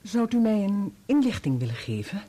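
An actress playing a polite, determined wife in a Dutch radio play, husky and purposeful. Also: female voice, medium pitch, very animated, normal speed, warm tone. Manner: courteous, purposeful. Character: polite, determined wife seeking help for husband.

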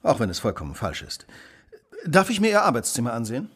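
A man's voice: mid-range voice, huge pitch swings, fast, fairly bright tone, husky, exaggerated voice. An actor playing a shrewd, composed male detective in a German radio drama, probing and precise with dry irony. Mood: analytical, dry. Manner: probing, precise, dryly ironic.

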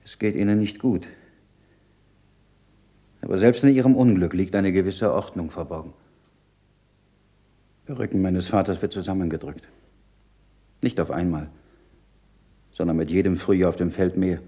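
An actor playing an earnest, troubled learned monk in a radio play, speaking in a measured, solemn, rhetorical way. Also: male voice, low voice, mellow, breathy edge. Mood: quiet, reflective. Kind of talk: German radio play acting.

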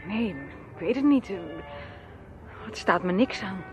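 A woman's voice: mid-range voice, a little slow, music in the background, lightly acted. An actress playing a wary, easily startled woman with an apprehensive, questioning manner in a radio drama. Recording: noisy radio play recording.

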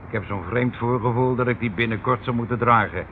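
An actor playing a mocking, menacing uncle who runs a criminal scheme in a radio drama, teasing and commanding. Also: male voice, mid-range voice, fairly quick, natural voice. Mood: sinister, wry. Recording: tinny band-limited old radio recording, noisy.

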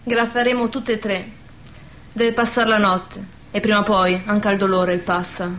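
A motherly village woman played by an actress in a radio play, sorrowful yet steadfast. Her medium-pitched voice is sombre and stern, in a southern Lazio dialect. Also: little pitch movement, normal speed, fairly bright tone, a little hiss or hum, lightly acted. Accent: southern Lazio Italian dialect. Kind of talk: radio drama acting.

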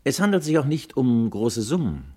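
Husky, troubled gentleman husband. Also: medium pitch, wildly swooping pitch. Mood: puzzled, explaining. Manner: deliberate, explanatory, carefully emphatic. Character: puzzled, well-off husband confiding in an old friend. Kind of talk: 1950s German radio crime drama acting.

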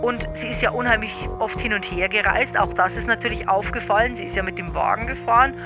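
A woman speaks as an expert commentator in loose, colloquial interview style. Her pitch is medium, and music plays clearly behind her. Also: quick pace. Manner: informal, colloquial. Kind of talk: spontaneous explanatory interview talk.

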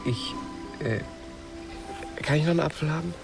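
A man in a radio play, playing a shy, grumpy settler on a far planet in a halting, grumbling manner. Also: on the high side, creaky, over music. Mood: shy, tender. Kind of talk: German radio play acting.

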